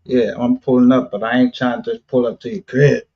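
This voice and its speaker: Man, husky voice, street slang, profane, casual livestream talk, muffled lo-fi sound. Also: medium pitch, flat delivery, brisk. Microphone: streaming call. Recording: dull lo-fi stream audio.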